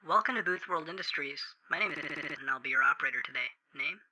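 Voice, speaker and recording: A man's voice: fairly high pitch, fast, sharp tone, rough recording. A man playing an eerily courteous, inhuman corporate phone operator in a creepypasta audio drama, heard through a phone filter. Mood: businesslike, polite. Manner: scripted, formal, coldly courteous customer-service patter.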